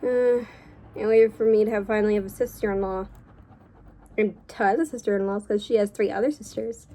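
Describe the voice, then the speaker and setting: A woman's voice: mid-range voice, steady tone, fairly quick, warm tone. A young woman vlogging casually while she draws, chatty and rambling, with a slight cough and a voice she says she is losing. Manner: chatty, rambling, self-correcting. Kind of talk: casual drawing vlog chat.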